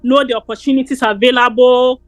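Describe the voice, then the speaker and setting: Ghanaian woman giving earnest advice in an interview, dry close sound, soft music behind. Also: sits high, steady tone, brisk, no room sound. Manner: earnest, repeats words, encouraging. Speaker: interview guest. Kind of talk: casual interview.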